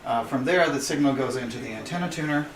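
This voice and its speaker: A man gives an informal explanatory walkthrough of a radio station, speaking in a halting, matter-of-fact way. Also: mid-range voice, crowd noise. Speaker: radio club member giving a station tour. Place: indoor amateur radio station room. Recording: roomy, noisy recording, distant microphone.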